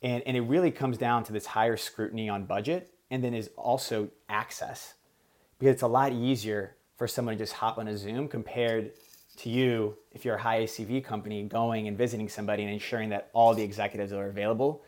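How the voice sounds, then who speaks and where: A man whose first language is Portuguese, speaking as an executive guest in a business interview and explaining his points in a measured way. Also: fairly low pitch, fairly even intonation, a little mellow. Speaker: podcast guest, sales executive.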